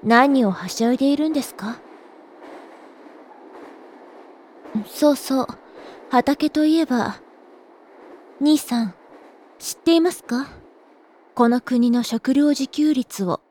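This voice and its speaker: A woman's voice: sits high, unhurried, long pauses, darkish tone, slight background noise. A woman playing a prim know-it-all little sister in an audio drama, lecturing her hapless older brother in polite, exasperated speech. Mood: exasperated, scolding. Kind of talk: Japanese audio drama acting.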